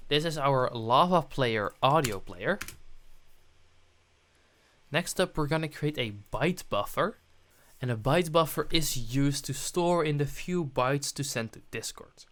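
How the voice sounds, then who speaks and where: A man's voice: fairly high pitch, expressive, unhurried, a bit bright. A man presenting a programming tutorial, narrating his steps on screen as he goes.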